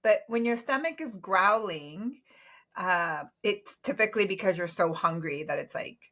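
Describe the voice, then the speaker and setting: Brief: young woman co-host, animated pitch, easygoing, laughs a lot. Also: normal speed. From grew up in an English-speaking home with Japanese spoken in the household. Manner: relaxed, laughs often, explains words. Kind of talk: casual bilingual chat.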